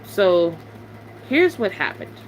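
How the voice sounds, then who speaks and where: A woman's voice: on the low side, very animated, slow pace, a little hiss or hum, poor audio. A young woman YouTuber, venting bluntly and sarcastically to her viewers in a casual chat.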